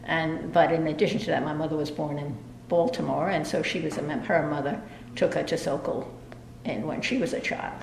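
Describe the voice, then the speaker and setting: An older woman from New York, an interviewee, remembering her past in an unhurried way with many hesitations. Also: low voice, fairly even intonation, gravelly, darkish tone, slight background noise. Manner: unhurried, with frequent hesitations and restarts. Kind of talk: casual reminiscing interview. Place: indoor library room with bookshelves. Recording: roomy echo, music underneath.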